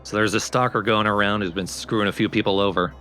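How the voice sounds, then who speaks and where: A man's voice: lowish voice, fairly even intonation, quick pace, pushed and bright, soft background music. A man hosting a let's play with casual, explanatory game commentary.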